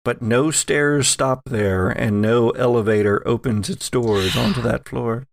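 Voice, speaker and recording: A man's voice: medium pitch, a little slow, gravelly, dry recording, clean studio sound. A man narrating as game master on an actual-play podcast.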